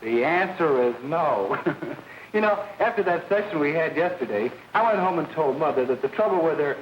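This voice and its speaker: A man acts out a lovestruck high school boy from a 1930s film. His young male voice is high and very animated, full of eager, bashful gee-whiz politeness. A light hiss of old soundtrack runs beneath it. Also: moderate pace, dull audio, close to an everyday voice. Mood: playful, boastful.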